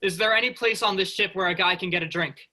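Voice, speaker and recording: A man's voice: high-pitched, fast talker, bright, forceful tone, exaggerated voice. A man playing a cocky, eager young spaceship worker in a staged reading of a sci-fi comedy. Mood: relaxed, playful. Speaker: actor in a student play reading.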